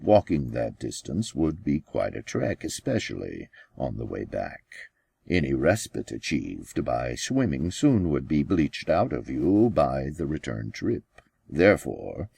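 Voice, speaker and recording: A man reading his own fiction as an audiobook, with deliberate, dramatic phrasing and pauses. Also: very deep voice, gravelly, darkish tone, husky, dry recording, clean studio sound. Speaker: author reading own novel. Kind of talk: scripted audiobook narration.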